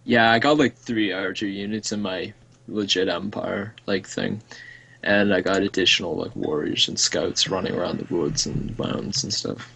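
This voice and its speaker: A man's voice: sits low, steady tone, normal speed, creaky, bright, forceful tone, husky, low-quality recording. A young man streaming a strategy game, chatting casually and rambling with the odd swear. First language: English. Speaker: let's play streamer. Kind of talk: casual gaming chat.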